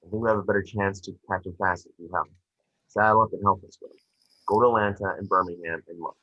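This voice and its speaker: A man's voice: sits low, fairly even intonation, quick pace, sharp tone. A man playing a calculating, plain-spoken crime investigator boss in a script table read, insistent in his delivery. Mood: urgent, persuasive. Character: middle-aged male crime investigator boss, persuasive and calculating. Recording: muffled, roomy video-call audio.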